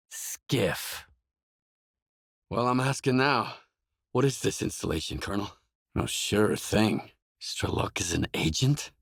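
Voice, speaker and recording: An actor playing a gruff, cynical and weary stalker in a video game, terse and sardonic in manner, with a husky voice. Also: male voice, lowish voice, no room sound, studio quality, full character voice. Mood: wary, dry. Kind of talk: video game voice lines, dramatic acting.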